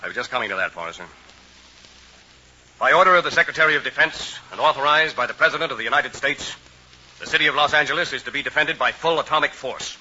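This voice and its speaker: An actor playing a stern, commanding general in a 1950s radio drama, speaking in a grave, clipped, formal way. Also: male voice, medium pitch, expressive, fast, sharp tone, slightly rough, exaggerated voice. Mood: solemn resolve. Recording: slightly dull old radio recording.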